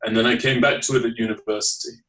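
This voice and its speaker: A man's voice: medium pitch, flat delivery, fairly bright tone, recorded from a distance, low-quality recording. A man from England with a Leeds accent, giving formal, carefully worded answers as a guest in a podcast interview. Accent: Northern English, Leeds. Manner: measured, formal, articulate.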